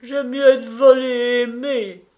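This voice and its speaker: A man's voice: extremely high pitch, little pitch movement, slow, a little mellow, dull audio, pitched above the performer's own voice. A man voicing a wounded but defiant young orphan girl in a storybook reading, plaintive and earnest. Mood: hurt, defiant.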